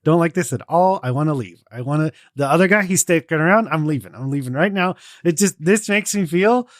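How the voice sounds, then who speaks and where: A man's voice: fairly high pitch, lively intonation, a little fast, dry recording, studio quality, natural voice. A man voicing a stressed, jittery character through clenched teeth, in short repetitive bursts. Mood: stressed, agitated.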